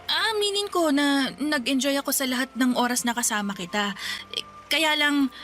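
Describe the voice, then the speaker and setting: A woman's voice: sits high, moderate pace, a bit bright, faint music behind. An actress playing a young woman who hesitantly and apologetically ends a romance in a radio drama. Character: young woman gently ending a fling.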